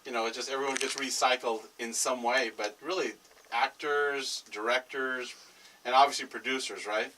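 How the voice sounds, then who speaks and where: Bright-voiced male talk show host. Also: mid-range voice, fairly even intonation, moderate pace. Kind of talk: casual interview chat. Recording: distant mic with faint noise.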